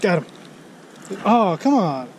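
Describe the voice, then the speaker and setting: A man's voice: sits high, sing-song, slow. An American man chatting casually as he fishes outdoors, recorded on a body-worn action camera. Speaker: fishing vlogger. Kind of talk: casual chat while fishing. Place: outdoors beside an urban river. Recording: faint outdoor city noise.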